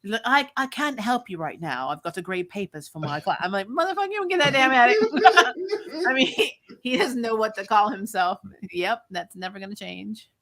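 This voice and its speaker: A woman's voice: fairly high pitch, animated, moderate pace, fairly bright tone. A woman chatting casually and sardonically as co-host of a TV review, often giggly and salty-tongued. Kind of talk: casual chat, fan review banter.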